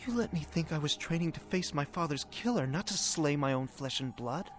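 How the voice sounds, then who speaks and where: A man's voice: on the high side, animated, a little fast, close to an everyday voice. An actor playing an earnest young hero in a radio drama, a young man who is conflicted and anguished. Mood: hurt, accusing. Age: young adult. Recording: loud orchestral score behind.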